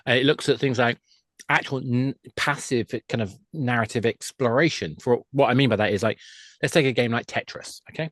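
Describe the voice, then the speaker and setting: Man, podcast guest, medium pitch, enthusiastic and emphatic, conversational expert explaining, dry studio-close sound. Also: brisk, fairly bright tone, no echo. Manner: enthusiastic, emphatic, restarting to rephrase.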